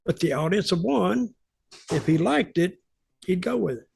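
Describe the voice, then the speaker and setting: A man's voice: high voice, expressive, normal speed, creaky, darkish tone, breathy edge. An older man telling stories casually on a podcast, blunt and opinionated, repeating points for emphasis. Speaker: podcast host, veteran wrestling booker.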